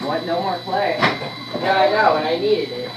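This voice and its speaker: A woman's voice: fairly low pitch, measured pace, echoey room, recorded from a distance, heavy background noise. A female student chatting casually in a classroom. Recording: busy classroom chatter behind.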